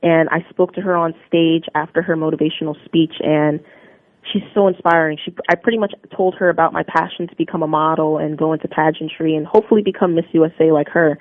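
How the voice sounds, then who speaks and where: A young woman with a lowish voice speaks in an upbeat, polished and earnest way as a radio guest. Her voice comes through a narrow, phone-like line with a dry, close sound and no room echo. She sounds composed and sure of herself. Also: steady tone, quick pace. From New Jersey, USA. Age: young adult. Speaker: interview guest, Army Reserve drill sergeant. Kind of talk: radio interview answers.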